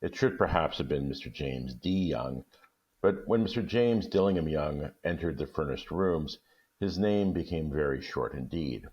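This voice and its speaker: A man reading a classic short story aloud in a steady, measured way. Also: extremely low pitch, highs cut off. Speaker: guest reader.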